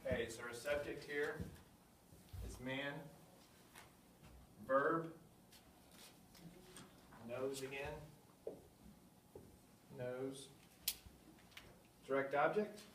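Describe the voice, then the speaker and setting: A man teaching a basic language class, thinking aloud and spelling out words slowly. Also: mid-range voice, fairly even intonation, recorded from a distance. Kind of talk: classroom lesson at a whiteboard. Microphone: camera at a distance. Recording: echoey room, voice from afar, faint hum.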